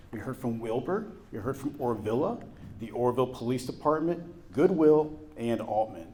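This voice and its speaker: A man's voice: mid-range voice, a little mellow. A man giving upbeat prepared remarks at a chamber of commerce gathering, promoting upcoming events. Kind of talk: prepared remarks at a business breakfast. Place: event room at a college.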